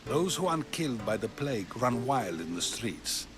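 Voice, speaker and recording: A man's voice: mid-range voice, sing-song, normal speed, close to an everyday voice. A man playing an eloquent, probing ancient Greek philosopher in video game dialogue, theatrical and full of rhetorical questions. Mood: grave. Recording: music under the voice.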